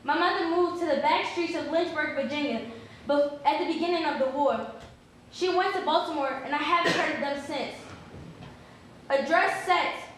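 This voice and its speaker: Actress as very high-voiced freedwoman seeking family. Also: moderate pace, fairly bright tone. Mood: earnest, plaintive. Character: formerly enslaved woman wishing to find her people. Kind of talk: dramatic stage reading. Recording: very echoey hall, faint noise.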